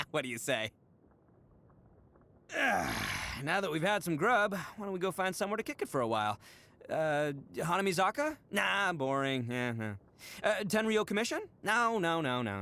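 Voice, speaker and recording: Casting: a young oni delinquent, video game, cocky and goofy, forceful tone, very animated delivery. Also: male voice, fairly high pitch, moderate pace, heavily acted. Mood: casual, easygoing. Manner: cocky, slangy, theatrical delinquent bravado. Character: brash, boastful, goofy young oni gang leader.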